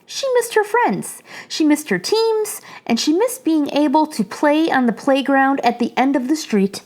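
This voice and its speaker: A woman reading a children's picture book aloud in an animated storytelling manner. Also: high-pitched, unhurried. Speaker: children's story reader, channel host.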